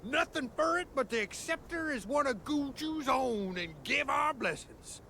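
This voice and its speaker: A man's voice: very high voice, lively intonation, a little slow, vocal fry, bright, forceful tone, a little hiss or hum, full character voice. A man voicing a folksy, easygoing villager storyteller in a video game cutscene, with a drawl. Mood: wistful, proud. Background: background music.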